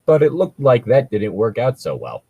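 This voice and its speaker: A man co-hosting a casual comedic fan fiction reading, joking crudely and swearing in an animated way. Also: mid-range voice, moderate pace, breathy edge, no room sound, narrow, tinny audio.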